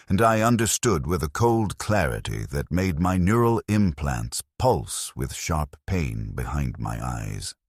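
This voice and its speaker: A man narrating a first-person story in a grave, measured, detached way. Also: rumbling low, slightly rough, dry recording, studio quality.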